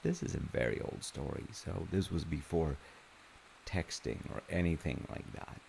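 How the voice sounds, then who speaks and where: A loving dad, played by a man tells a story in a very low, creaky voice. He rambles through wry, conversational asides in a playful audio roleplay manner. A faint hiss sits behind him. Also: lively intonation, moderate pace, darkish tone, full character voice. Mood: wry, gentle. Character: loving, playful dad telling his child a parable. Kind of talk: audio roleplay, informal bedtime-style storytelling.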